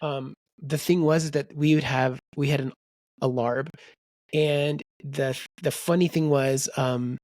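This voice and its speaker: A man's voice: medium pitch, slow, dry recording. A man co-hosting a book podcast, rambling in casual chat and quoting people in voices. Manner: rambling, animated, quotes people in character voices. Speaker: podcast co-host.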